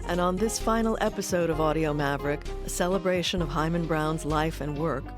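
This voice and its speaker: A woman's voice: deep voice, a little fast, music in the background. A woman hosting a documentary, reading scripted narration.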